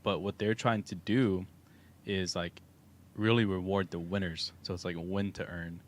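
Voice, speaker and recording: A man with a Texan accent co-hosting a livestream, talking in a relaxed, rambling way with many fillers. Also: deep voice, normal speed. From Texas. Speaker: co-host of a crypto livestream. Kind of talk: casual livestream chat. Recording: faint background noise.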